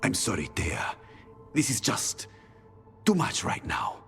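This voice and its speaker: A man's voice: lowish voice, sing-song, slow pace, creaky, breathy edge, soft background music, exaggerated voice. An actor playing a withdrawn, evasive, burdened male assassin in a video game. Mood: distracted, upset.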